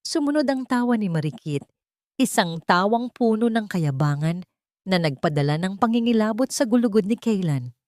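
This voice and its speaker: A woman's voice: mid-range voice, lively intonation, moderate pace, darkish tone, no room sound, clean studio sound. A woman storyteller reading a scripted dramatic story.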